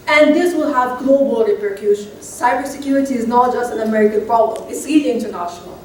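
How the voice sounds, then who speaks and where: A woman from Morocco giving a conference presentation in a didactic manner, repeating her key points. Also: sits high. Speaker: researcher presenting a paper. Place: conference room. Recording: echoey room, distant mic, faint noise.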